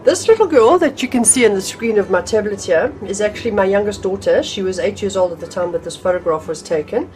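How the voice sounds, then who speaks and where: A woman's voice: medium pitch, animated, fast, few pauses, a little mellow, soft background music, rough recording. A middle-aged woman teaching drawing from her home art room, chatty and a little nasal from a cold, with frequent ums and restarts. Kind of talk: casual art tutorial.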